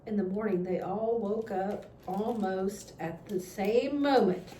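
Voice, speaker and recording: A woman reading a children's storybook aloud, deliberate and a little halting, repeating words. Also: mid-range voice, dark tone, distant mic. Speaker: read-aloud reader. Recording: echoey room with background noise.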